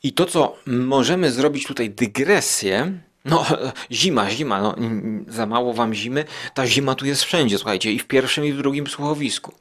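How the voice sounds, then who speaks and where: A man's voice: mid-range voice, moderate pace, a bit bright. A man hosting a podcast, casually commenting and often searching for words. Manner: hesitant, searching for words, enthusiastic. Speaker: podcast host.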